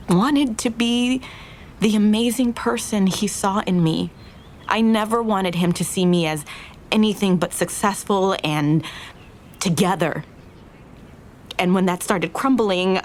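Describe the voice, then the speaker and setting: An actress playing an earnest, regretful young restaurant owner in an audio drama, confessing in a halting, naturalistic way. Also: female voice, mid-range voice, slight background noise. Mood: vulnerable, heartfelt.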